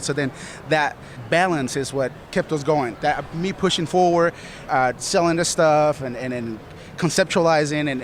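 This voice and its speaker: An earnest, driven man from Colombia describes building an art business, his voice slightly raised in pitch. He talks energetically, often circling back over his own words. Faint outdoor noise is heard around him. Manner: earnest, driven, repeats himself. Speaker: studio manager and business partner. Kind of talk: casual interview answers.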